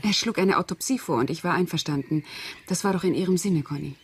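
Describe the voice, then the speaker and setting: Husky, controlled, calculating woman. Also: fairly low pitch, fast talker. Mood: measured. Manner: controlled, precise, commanding. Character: cold, calculating murderess posing as helpful household friend. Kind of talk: German radio crime drama acting.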